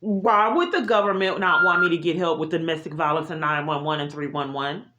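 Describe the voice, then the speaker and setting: A woman's voice: low-pitched, a little fast, echoey room. A woman speaking unscripted in an insistent, aggrieved way, as if setting out a long personal complaint. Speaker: vlogger recording a personal complaint. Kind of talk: unscripted monologue of grievances. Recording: muffled audio with heavy noise and clicks.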